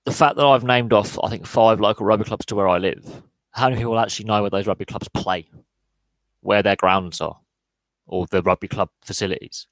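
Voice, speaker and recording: A man's voice: on the low side, a little fast, no echo, rough recording. A man hosting a live podcast Q&A, talking through opinions with lots of fillers and restarts. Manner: opinionated, answers chat live, many fillers and repeated words. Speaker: podcast host.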